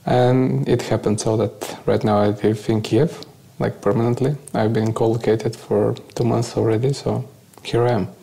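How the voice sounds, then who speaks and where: A man from Bulgaria with a Bulgarian accent, giving a conversational, halting first-person account as an interview guest. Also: mid-range voice, little pitch movement, creaky, dark tone.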